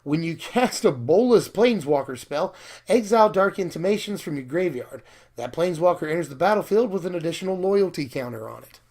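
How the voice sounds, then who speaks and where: An excited young man, a little hoarse from losing his voice, chatting casually about new cards with lots of fillers. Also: fairly high pitch, fairly quick. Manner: enthusiastic, talkative, fillers and laughs. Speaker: card game video host.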